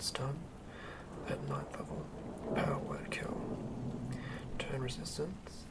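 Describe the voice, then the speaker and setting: A person whispering breathily and deliberately close to the microphone, as the host of a fantasy game ASMR read-through. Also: medium pitch, far from the mic. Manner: hushed, deliberate phrase-by-phrase reading. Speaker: host and game master reading a monster stat block.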